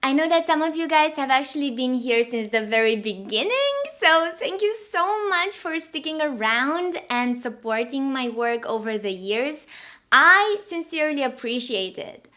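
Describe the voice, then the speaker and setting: A woman's voice: high voice, animated, normal speed, sharp tone. A woman in her thirties with a Romanian accent, a language teacher hosting her channel and narrating a cheerful, grateful look back at its history. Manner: cheerful and grateful, upbeat delivery. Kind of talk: anniversary video narration.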